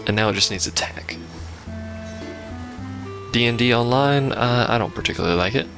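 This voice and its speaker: A man's voice: medium pitch, lively intonation, moderate pace, vocal fry. A man giving casual, rambling gameplay commentary with dryly sarcastic asides, as a let's play host. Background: game music and sounds.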